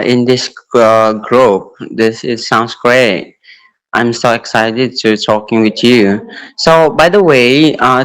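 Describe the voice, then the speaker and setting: Slow, hesitant Indonesian man in his twenties. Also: medium pitch, fairly bright tone, smooth, rough recording. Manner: hesitant, searching for words in broken English. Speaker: English learner. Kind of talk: casual practice chat with a stranger.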